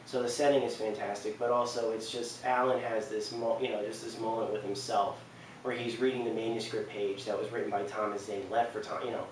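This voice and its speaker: A man's voice: fairly low pitch, flat delivery, a little fast. A man hosts an unscripted game countdown with rambling enthusiasm. He sounds far from the mic in a strongly reverberant room, with faint noise underneath. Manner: enthusiastic, rambling, repeats himself for emphasis. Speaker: video countdown host.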